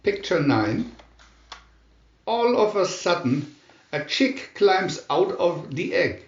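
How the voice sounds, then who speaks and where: A man narrating a scripted children's storybook in a deliberate, expressive storytelling manner. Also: sits high, reverberant, lo-fi sound.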